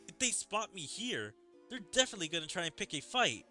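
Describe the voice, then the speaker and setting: A man's voice: high voice, sing-song, a little fast, bright, forceful tone, soft background music, voice pushed up, exaggerated voice. A man voicing a weary, anxious young male hero from a fantasy game, reading the dialogue aloud.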